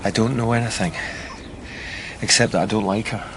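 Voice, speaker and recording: A man's voice: lowish voice, steady tone, normal speed, noisy background, natural voice. A man playing a meek, awkward murder suspect in a naturalistic radio drama, hesitant and subdued. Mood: awkward, worried. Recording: radio drama with clear background ambience.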